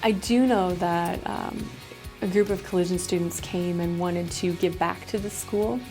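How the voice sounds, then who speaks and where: A middle-aged woman, a high school principal, talking casually in an interview. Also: steady tone, measured pace, a little mellow, soft background music.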